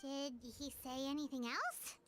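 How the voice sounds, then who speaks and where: A woman voicing a tiny, chatty fairy companion with a squeaky childlike voice in a video game. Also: expressive, a little slow, a bit bright, soft background music. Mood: concerned. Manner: refers to herself in the third person.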